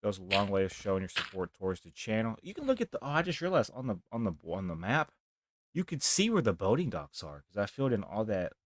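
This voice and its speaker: Deep-voiced man rambling over gameplay. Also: sing-song, quick pace, dry recording. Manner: rambling, talks softly, near whispering. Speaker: streamer. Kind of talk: casual live gameplay commentary.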